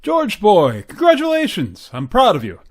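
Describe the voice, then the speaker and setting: A man's voice: high voice, wildly swooping pitch, moderate pace, exaggerated voice. A man playing a pompous, stingy, patronizing boss with a hearty manner, in old-time radio sitcom style. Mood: hearty, congratulatory. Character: blustery, stingy, patronizing male boss, middle-aged or older.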